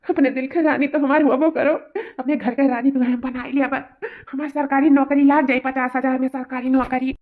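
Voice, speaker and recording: A woman's voice: sits high, flat delivery, a little fast, lightly acted. A woman voicing a melodramatic, wheedling young male lover in a cartoon comedy, repeating phrases with an eastern Uttar Pradesh accent. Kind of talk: cartoon family comedy acting. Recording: tinny, phone-like narrow audio.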